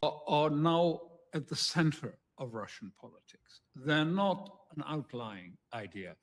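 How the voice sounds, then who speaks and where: A man speaking as an interview guest, giving commentary in a halting, measured way. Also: fairly high pitch, lively intonation. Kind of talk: spoken analysis in an interview.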